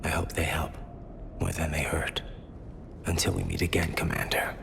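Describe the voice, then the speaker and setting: A man voicing a grave, reserved young alien in a video game, speaking formally with a husky rasp. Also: low-pitched, flat delivery, noisy background. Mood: polite, reserved. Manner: measured, formal, restrained. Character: grave, reserved young male alien grieving his father.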